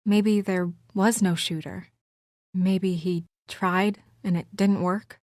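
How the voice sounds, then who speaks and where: A woman's voice: lowish voice, steady tone, slow pace, darkish tone, no echo. A woman acting as a composed, earnest young investigator in a noir fantasy video game, serious and restrained with a dry edge. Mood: hushed, shaken. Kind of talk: video game voice acting, naturalistic dramatic dialogue.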